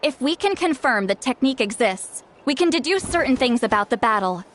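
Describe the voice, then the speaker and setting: Haughty game shaman, grumpy elder attitude, high lively voice. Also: fairly quick, a little hiss or hum. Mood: scheming. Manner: haughty, teasing, self-assured. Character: proud grumpy female shaman, sharp-tongued elder. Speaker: game character. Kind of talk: video game voice acting.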